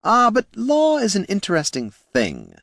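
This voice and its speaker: A man doing a high, oily gnome schemer. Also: wildly swooping pitch, measured pace, fairly bright tone, dry recording, clean studio sound, exaggerated voice. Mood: insinuating. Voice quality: oily, mock-polite. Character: sly smug male gnome schemer, genteel.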